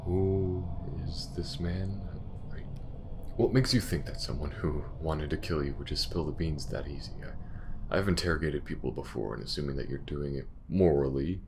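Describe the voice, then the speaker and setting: A man's voice: moderate pace, background noise. A man playing a skeptical, protective detective who stammers in disbelief in an audio roleplay. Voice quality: deep, gravelly. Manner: stammering, incredulous. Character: gruff, rattled male detective, skeptical and protective.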